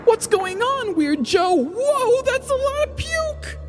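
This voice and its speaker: A man voicing a ditzy, excitable young female coworker in a comedic story, gushing and melodramatic. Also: very high voice, slow, pitched above the performer's own voice, heavily acted. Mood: shocked. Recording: music bed behind voice.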